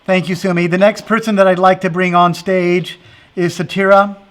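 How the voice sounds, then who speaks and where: A man with a fairly high voice hosts a casual interview. His talk is earnest and meandering, and he keeps restarting his sentences with fillers. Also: flat delivery, normal speed. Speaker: festival host interviewing dancers.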